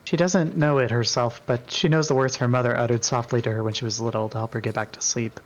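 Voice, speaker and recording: A man's voice: mid-range voice, steady tone, fast, dull audio, slight background noise. A man reading scripted story narration in a dramatic reading. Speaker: reader in a group dramatic reading.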